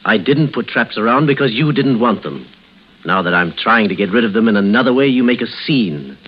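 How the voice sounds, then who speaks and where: An actor playing an impatient, dismissive husband in a vintage radio drama, with a brisk, condescending, reasoning manner. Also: male voice, fairly low pitch, lively intonation. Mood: exasperated. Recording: muffled, noisy old radio recording.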